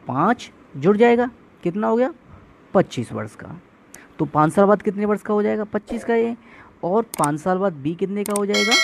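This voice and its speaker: A man's voice: sits high, darkish tone. A male maths teacher giving an exam-prep lesson, explaining step by step, asking questions and answering them himself, and calling viewers friends.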